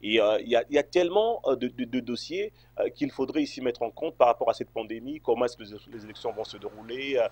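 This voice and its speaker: A man's voice: mid-range voice, quick pace, few pauses, a little mellow, slightly rough. A man from Congo answering interview questions, hesitant, with many fillers and restarts. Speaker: interview guest.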